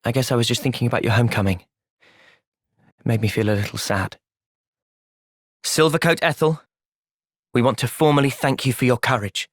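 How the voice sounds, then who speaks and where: A man with an English accent playing an earnest, thoughtful young soldier hero in a video game, speaking sincerely and with measured delivery. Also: medium pitch, no echo, clean studio sound, lightly acted. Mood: calm, earnest. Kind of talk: video game cutscene voice acting.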